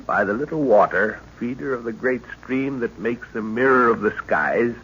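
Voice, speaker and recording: A man playing a middle-aged professor and father leading an expedition, measured and authoritative, in old-time radio drama style. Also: mid-range voice, animated, a bit bright. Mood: explaining. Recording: muffled, noisy old radio recording.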